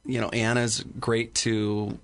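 A man's voice: fairly low pitch, level tone, slow pace, fairly bright tone. Hesitant man chatting as interview guest. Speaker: stage actor, interview guest. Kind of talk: casual interview chat.

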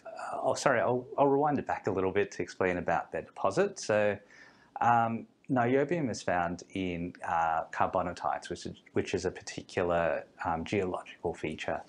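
A man's voice: low voice, normal speed. A man, a guest fund manager, explains things in an interview with many ums and restarts. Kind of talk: podcast interview.

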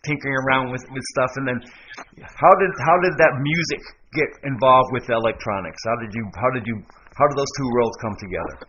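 A man hosting a radio interview, talking eagerly with many quick affirmations and restarts. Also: medium pitch, dull audio, background noise. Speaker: radio host, DJ. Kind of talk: casual interview chat.

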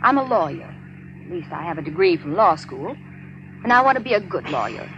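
A woman's voice: fairly low pitch, very animated, fairly quick, fairly bright tone, lo-fi sound. An actress playing an earnest, ambitious young woman lawyer in a 1940s radio crime drama, insistent and wary. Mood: earnest, eager. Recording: slightly noisy 1940s radio recording.

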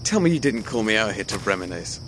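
An actor playing a hurt young ex-boyfriend in an audio drama, sardonic, clipped and dismissive. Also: male voice, fairly low pitch, sing-song, quick pace. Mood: sarcastic. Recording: noisy, night insect ambience behind.

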